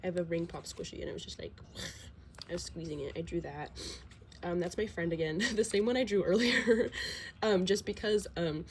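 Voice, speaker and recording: A teenage girl with a slight cold and a slightly low voice, rambling casually with plenty of fillers and self-deprecating asides. She sniffles now and then, and a faint hum of noise sits under her voice. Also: fairly even intonation, moderate pace. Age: teens. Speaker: vlogger. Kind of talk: casual sketchbook tour.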